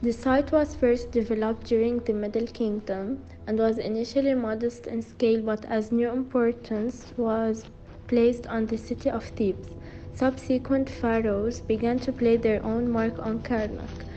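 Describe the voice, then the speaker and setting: A woman's voice: a little high, steady tone, unhurried, warm tone, highs cut off. A woman reading scripted documentary narration, sometimes stumbling and repeating words as she reads.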